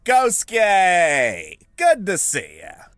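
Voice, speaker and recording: A man's voice: high-pitched, huge pitch swings, extremely slow, fairly bright tone, no echo, clean studio sound, faint music behind, heavily acted. A man playing an eager, hopeful 1920s all-American young man in a visual novel, earnest and exclamatory, with old-fashioned slang. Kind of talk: visual novel character acting.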